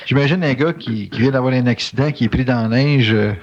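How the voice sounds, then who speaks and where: A man's voice: mid-range voice, a little fast. A male interviewer, probing with questions that trail off and restart. The sound is muffled, like old cassette tape.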